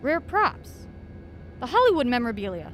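High-voiced, plucky woman traveler. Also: sing-song, normal speed, fairly bright tone. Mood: curious, breezy. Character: plucky, practical female traveler, breezily unflappable. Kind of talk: comic radio drama acting. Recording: clear vehicle noise behind.

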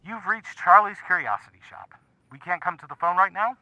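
A man's voice: high voice, sing-song, brisk, pushed and bright, dry recording, heavily acted. A man playing an uncle who keeps a shop, speaking on an answering machine in an audio drama. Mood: formal greeting. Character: worried uncle, shopkeeper, on answering machine message. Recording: very noisy answering machine playback.

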